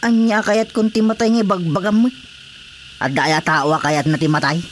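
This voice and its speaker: A woman's voice: mid-range voice, moderate pace, lightly acted. A woman with an Ilocano accent playing a stubborn, defensive, ailing drinker in a radio drama. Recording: faint background noise.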